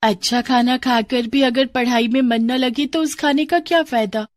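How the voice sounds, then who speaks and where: A woman's voice: high voice, steady tone, moderate pace, dry recording, studio quality, voice pushed up, natural voice. A woman voicing a determined, polite young schoolgirl in a moral story, earnest and resolute. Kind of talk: acted dialogue in a moral story.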